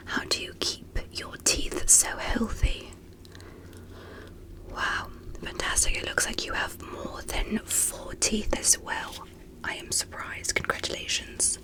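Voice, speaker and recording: A woman whispering softly and breathily in an ASMR medical roleplay, speaking gently and deliberately in short measured phrases as a fascinated alien doctor. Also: low-quality recording. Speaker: ASMR performer.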